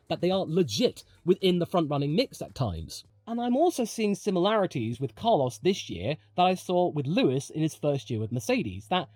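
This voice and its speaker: A man's voice: sits high, darkish tone, dry recording. A man delivering opinionated motorsport video commentary in an emphatic, conversational way. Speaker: motorsport commentator, channel host.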